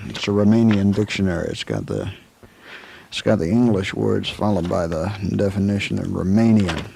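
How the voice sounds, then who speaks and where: An actor playing a calm, laconic Old West lawman with an American accent, understated and hesitant in a radio western. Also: sits low, moderate pace, vocal fry, full character voice. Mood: grim, resolute. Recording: faint background noise on old recording.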